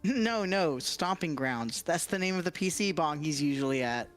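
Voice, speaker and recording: A woman's voice: low voice, animated, normal speed, faint music behind. Woman voicing Axel, brash teasing game sidekick. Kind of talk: visual novel voice acting.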